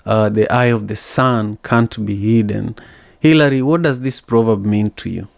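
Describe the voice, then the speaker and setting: A man from Kenya hosting a podcast interview, asking questions in a measured, steady way. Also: fairly low pitch, creaky, no echo. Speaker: podcast host.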